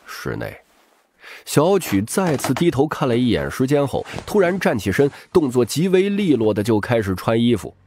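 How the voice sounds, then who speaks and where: A man's voice: medium pitch, big pitch swings, moderate pace, a little mellow, slight background noise. A man reading scripted, dramatic audiobook narration.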